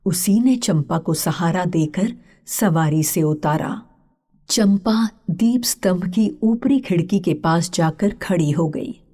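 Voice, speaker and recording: Soft, husky, slow woman storyteller. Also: lowish voice. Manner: measured, breathy. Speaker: narrator. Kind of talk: scripted literary story narration.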